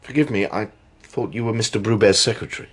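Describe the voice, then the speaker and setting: An actor playing a polite, low-key detective inspector in a radio drama, tentative and apologetic but persistent. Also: male voice, quick pace, slightly rough.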